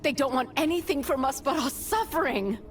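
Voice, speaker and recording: A woman's voice: high-pitched, fairly quick, background noise, lightly acted. A woman voicing a desperate, tormented captive pleading in a video game.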